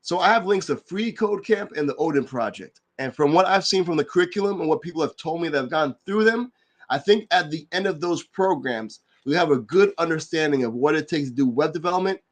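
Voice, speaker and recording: Man, slightly nasal, recovering from a cold, relaxed rambling livestream host, somewhat high voice. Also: fairly quick. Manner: relaxed, filler-heavy, rambling. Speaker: livestream host and developer advocate. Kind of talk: casual explanatory livestream talk.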